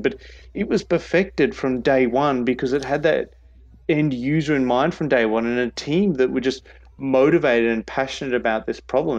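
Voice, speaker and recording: A man being interviewed on a podcast, talking enthusiastically and restarting words as he goes. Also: mid-range voice. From Australia. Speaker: guest, innovation expert. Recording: dull lo-fi sound.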